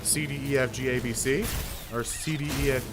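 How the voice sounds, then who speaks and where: A young man streamer chatting casually, rambling with fillers and casual swearing, with a fairly deep voice. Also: fairly even intonation, brisk, noisy background. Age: young adult. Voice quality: somewhat deep voice. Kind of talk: casual live-stream chat.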